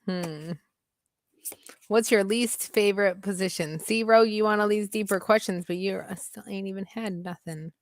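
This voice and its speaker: A woman's voice: mid-range voice, fairly even intonation, normal speed, no echo, clean studio sound. A woman hosting a casual chat, reading out party questions and thinking them over aloud with hums and giggles, over a video call. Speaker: host.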